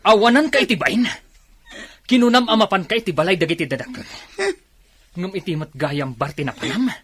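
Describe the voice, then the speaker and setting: An actor playing a stern young husband, scolding and indignant, in an Ilocano radio drama. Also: male voice, sits high, lively intonation, a little fast, fairly bright tone, husky, dry recording, heavily acted. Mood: angry.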